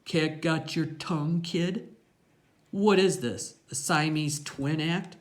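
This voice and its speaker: A woman's voice: deep voice, takes it slowly. A woman voicing a big, tattooed male gang boss in a story, slangy, curt and mocking.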